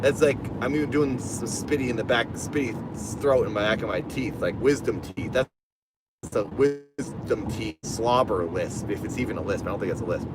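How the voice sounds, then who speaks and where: A man's voice: mid-range voice, normal speed. A man with a lisp chatting casually on a livestream from his car while driving, rambling and reading out donations. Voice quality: lisp on S sounds. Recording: car road noise behind.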